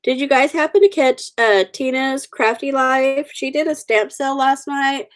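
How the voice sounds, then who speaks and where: A woman's voice: sits high, moderate pace. A woman with the sniffles, chatting casually with viewers while coloring stamped cards on a livestream, greeting people by name. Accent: Oklahoma. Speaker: livestream host.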